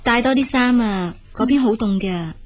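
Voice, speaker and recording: A woman's voice: a little high, normal speed, natural voice. An actress playing a hard-working, caring elder sister and young mother in a Cantonese radio drama, with a Hong Kong accent.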